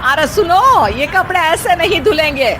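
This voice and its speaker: A woman's voice: extremely high pitch, expressive, a little slow, a crowd in the background, background noise. A woman voicing a bossy, fussy middle-aged mother-in-law who scolds her daughter-in-law in a cartoon story. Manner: fussy, scolding, ends with a nagging "हैं?".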